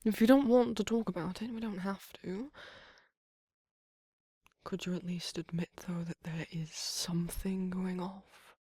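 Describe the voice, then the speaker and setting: A woman voicing a flirty, gently protective vampire lover in an intimate ASMR romance roleplay, with a husky, breathy, often whispered voice and tender, coaxing manner. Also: fairly low pitch, steady tone, no echo, clean studio sound. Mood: concerned, coaxing. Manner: tender, unhurried, coaxing endearments.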